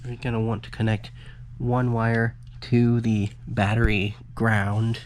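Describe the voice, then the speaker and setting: A man's voice: lowish voice, completely flat delivery, takes it slowly, darkish tone, slight background noise. A man giving a casual hands-on electronics tutorial, informal and muttering the odd aside as he works. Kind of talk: casual hands-on how-to narration.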